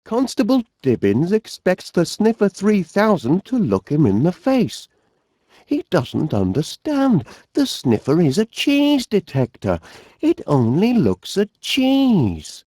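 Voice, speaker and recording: An actor playing a fussy, absent-minded middle-aged inventor with a Northern English accent, politely musing aloud in an animated comedy game. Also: male voice, high voice, slow, no echo, clean studio sound, heavily acted. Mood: plaintive, puzzled.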